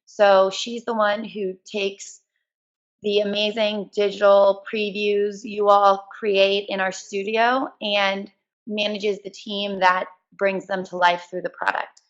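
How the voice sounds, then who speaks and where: A woman's voice: mid-range voice, level tone, takes it slowly. A woman hosting a webinar in a casual presenting style. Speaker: webinar host. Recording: dull lo-fi webinar audio.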